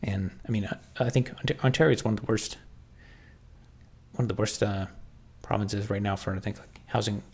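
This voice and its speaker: A man with a Canadian accent talks on a livestream in a lowish, gravelly voice with a husky edge. He thinks out loud and often stops and restarts his sentences as he answers viewers. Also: steady tone. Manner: halting, thinking aloud, frequent restarts. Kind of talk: casual livestream chat, answering viewer comments.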